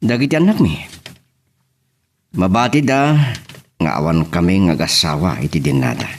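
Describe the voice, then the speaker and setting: A man's voice: low-pitched, expressive, moderate pace. A man acting an earnest, burdened husband and father in an Ilocano audio drama. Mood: troubled.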